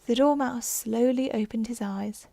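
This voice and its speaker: A woman reading a storybook as an audiobook narrator, in a soft bedtime style. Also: a little high, a little slow, gravelly, dry recording.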